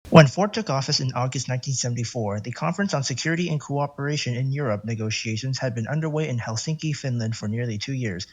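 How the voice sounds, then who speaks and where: A man's voice: medium pitch, fast talker, hardly any pauses. A man reading a scripted educational narration for a documentary.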